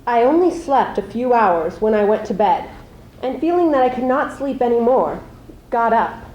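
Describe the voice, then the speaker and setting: A teenage girl, a high school student, gives a deliberate, suspenseful dramatic reading of prose. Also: animated, muffled recording. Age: teens. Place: live school showcase before an audience. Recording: echoey live room recording.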